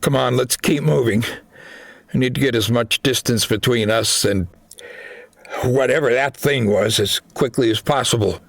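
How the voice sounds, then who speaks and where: A man's voice: medium pitch, moderate pace, gravelly, a bit bright, no room sound, pitched above the performer's own voice. A man voicing a determined, injured squad leader in an audiobook, firm and commanding. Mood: determined, tense.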